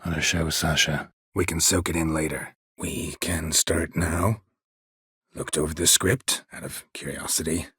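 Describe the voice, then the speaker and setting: A male actor playing a stoic, world-weary monster hunter in a video game, terse and deadpan, with a low gravelly rasp. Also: a little slow, no room sound, full character voice. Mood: deadpan, businesslike. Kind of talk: video game character dialogue.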